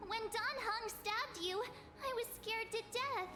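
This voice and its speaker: A woman's voice: extremely high pitch, takes it slowly, over music. A woman playing an anxious, earnest teenage girl adventurer in a video game. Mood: worried. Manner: earnest, worried, youthful.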